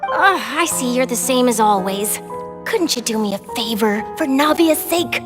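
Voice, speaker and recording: A woman's voice: on the high side, expressive, moderate pace, in a large hall, over music, natural voice. An actress playing an eager, chatty, persistent young female news reporter in a video game, with a bubbly, pushy manner. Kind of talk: animated video game voice acting.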